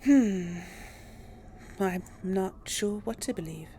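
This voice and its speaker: A woman's voice: mid-range voice, slow pace, dark tone, slight background noise. A woman doing a casual let's play, commenting and role-playing dialogue as a gaming host.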